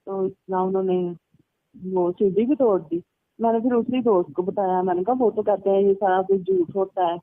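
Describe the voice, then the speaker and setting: A woman's voice: mid-range voice, expressive, moderate pace, no echo. A woman chatting casually over the telephone, telling a personal story in a rambling, repetitive way. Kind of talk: casual phone chat, recounting anecdotes. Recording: muffled, band-limited phone call recording.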